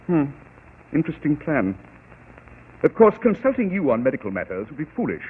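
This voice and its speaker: An actor playing a cool, arrogant master detective in an old radio drama, measured, precise and dryly cutting. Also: male voice, fairly high pitch, wildly swooping pitch, husky, heavily acted. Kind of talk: 1940s radio acting. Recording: tinny old radio broadcast.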